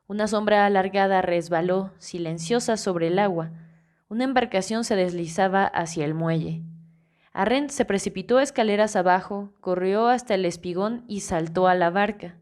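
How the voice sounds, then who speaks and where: A woman narrating a fantasy audiobook in a measured, calm reading style. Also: mid-range voice. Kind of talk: scripted storybook narration.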